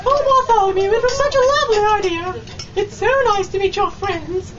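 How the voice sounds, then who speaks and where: A woman playing a gushing, excitable duchess in an old-time radio comedy. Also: very high voice, moderate pace. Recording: dull, distant live recording, background noise.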